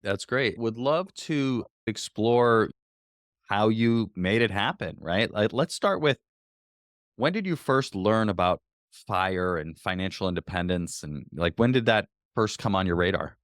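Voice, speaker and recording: A man's voice: on the low side, animated, unhurried, no room sound, clean studio sound. A man hosting a podcast interview, upbeat and encouraging as he prompts his guest. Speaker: podcast host. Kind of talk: interview conversation.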